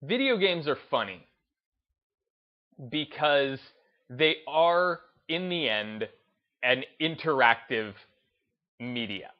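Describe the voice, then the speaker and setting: A man's voice: medium pitch, highs cut off. A man giving unscripted opinion commentary in a hesitant, rambling way, often restarting his phrases. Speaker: host of a gaming video channel.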